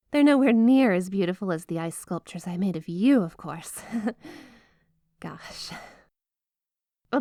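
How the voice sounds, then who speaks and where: A woman's voice: medium pitch, no room sound, clean studio sound. A woman playing a flirty, obsessive yandere wife in an ASMR roleplay, gushing and giggly with a coyly menacing sweetness. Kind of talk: ASMR roleplay addressed to the listener.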